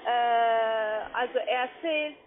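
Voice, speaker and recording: A woman with a foreign accent, speaking halting, ungrammatical non-native sentences as a call-centre agent on a sales call. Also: a little high, slight background noise. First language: not German. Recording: narrow tinny phone line.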